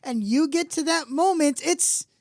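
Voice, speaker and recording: A woman's voice: high-pitched, measured pace, vocal fry, darkish tone, no room sound, studio quality. A woman co-hosting a movie podcast, chatting casually with lots of restarts and fillers. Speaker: podcast co-host.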